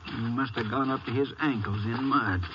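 An actor playing a folksy, matter-of-fact small-town sheriff in a 1950s radio western. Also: male voice, mid-range voice, normal speed, natural voice. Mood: puzzled, observant. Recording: muffled, hissy 1950s radio recording.

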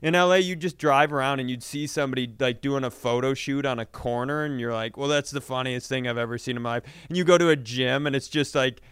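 A man's voice: mid-range voice, fast, few pauses, a bit bright, clear, resonant tone, no echo. A man hosting a comedy podcast, telling sardonic, profane stories in casual banter.

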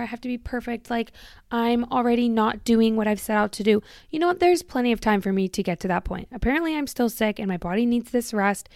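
Woman, podcast host, casual solo chat; candid and rambly, slightly tired, smooth clean voice on a close, dry mic. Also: a little high, a little mellow, no echo. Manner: chatty and candid, heavy use of "like", self-interrupting. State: slightly tired, recovering from a long illness.

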